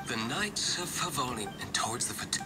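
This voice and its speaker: A man voicing a cool, terse, aloof tavern boss in a video game, with loud music behind him. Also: mid-range voice, big pitch swings, moderate pace, lightly acted. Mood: aloof, dry. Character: cool, curt, wealthy male tavern boss.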